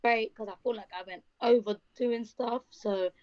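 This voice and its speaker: A twenty-one-year-old woman from London with a posh London accent and a hoarse voice, chatting lightly as an interview guest. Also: on the high side, normal speed, no room sound, highs cut off. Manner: lively, laughs easily. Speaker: rapper, guest. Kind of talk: casual interview chat.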